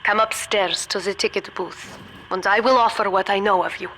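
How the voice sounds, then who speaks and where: A woman's voice: mid-range voice, fairly even intonation, normal speed, a bit bright, a little hiss or hum, lightly acted, low-quality recording. A woman playing a guarded, worldly scientist ally in a video game, with a German accent and a grave, matter-of-fact manner. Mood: guarded, grim. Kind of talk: video game character dialogue.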